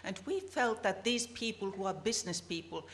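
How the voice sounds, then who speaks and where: A woman's voice: medium pitch, animated, normal speed, a bit bright. Finnish woman co-presenting at a conference. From Finland. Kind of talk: academic presentation. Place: conference hall.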